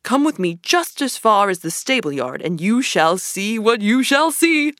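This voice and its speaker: A woman voicing a boastful, excitable male toad in a storybook reading, gushing and showy. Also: medium pitch, a little slow, no echo, clean studio sound, voice pushed up. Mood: excited boasting.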